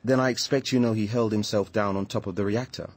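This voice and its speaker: An actor playing an earnest, troubled British activist, confiding and insistent, in a radio drama. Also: male voice, lowish voice, fairly even intonation, fast, a little mellow, natural voice. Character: earnest, troubled male anti-nuclear activist living in a caravan.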